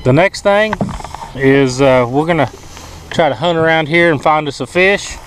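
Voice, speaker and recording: Folksy fishing instructor, a man with a slightly high voice, picked up from a distance on a windy lake boat. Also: normal speed. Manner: folksy, colloquial play-by-play. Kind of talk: on-the-water fishing tutorial. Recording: distant mic with loud wind noise.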